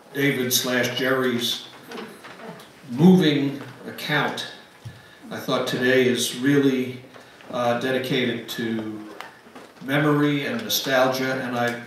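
A man in his seventies giving a reflective church address in a measured way, with long pauses. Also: medium pitch, a bit bright. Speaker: guest giving closing words. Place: church sanctuary, at pulpit. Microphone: gooseneck pulpit mic, picked up by far camera. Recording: very echoey church, distant mic, background noise.

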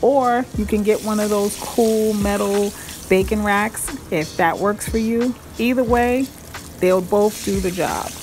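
A woman's voice: mid-range voice, measured pace, a little mellow, a little hiss or hum, rough recording. A woman hosting her cooking channel, talking viewers through a recipe in a chatty, upbeat voice-over. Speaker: cooking blogger and channel host. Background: music.

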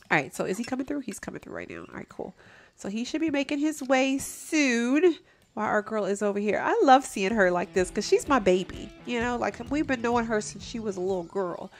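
Young woman, gameplay commentator, nasal stuffy-nose tone, easygoing and chatty, home desk mic. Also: medium pitch. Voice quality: nasal from allergies. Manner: chatty, addresses viewers as y'all, laughs often. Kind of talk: casual let's play commentary.

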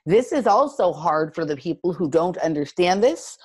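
A woman with a deep voice speaking candidly and reflectively in a discussion, with lots of fillers. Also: sing-song, normal speed, a little mellow, husky. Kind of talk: conversational discussion.

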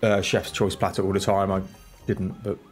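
Low-voiced man with a stuffy cold. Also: little pitch movement, normal speed, faint music behind. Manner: laid-back, muttering, self-deprecating. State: congested from a cold. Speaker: gaming channel host. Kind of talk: casual let's-play commentary. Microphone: desk microphone, off camera.

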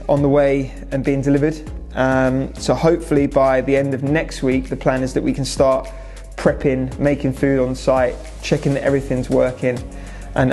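A man's voice: medium pitch, mellow. A young man from England talking casually and matter-of-factly through a restaurant renovation, speaking to a camera in a large indoor space. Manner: casual, matter-of-fact, lots of "so" and "obviously". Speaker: restaurant owner. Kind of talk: vlog update on a restaurant renovation.

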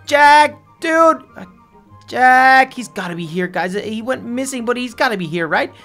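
A voice actor playing an excitable, anxious young superhero friend, stammering and exclaiming through an improvised adventure story. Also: mid-range voice, moderate pace, soft background music. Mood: amazed, uneasy. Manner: stammering, exclamatory, talks to viewers as guys.

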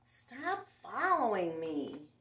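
Storybook big brother, played by a woman: fed up, bossy scolding, stretched words, lively pitch swings. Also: full character voice. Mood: annoyed, scolding. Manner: emphatic, drawn-out scolding. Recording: roomy, distant camera microphone.

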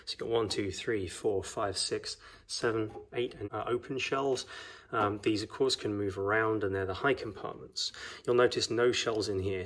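A man's voice: fairly low pitch, a bit bright, slight background noise. A man giving a casual, rambling product review at home, with frequent ums and uhs, filmed on a phone. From New Zealand. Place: home gaming room, indoors. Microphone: phone camera, off screen.

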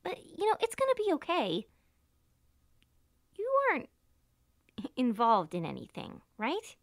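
A breezy young mobster's daughter in an audio drama, played by a woman. Her voice is high and very animated, recorded dry, with a casual, chatty and reassuring way of talking. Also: measured pace, no room sound, exaggerated voice.

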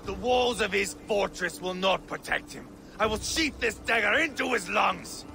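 A gravelly, furious Viking warlord. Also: male voice, expressive, normal speed. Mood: vengeful. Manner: gravelly, volatile, swings from low growls to shouts. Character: fierce grieving male Viking warlord. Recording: game soundtrack with ambient noise.

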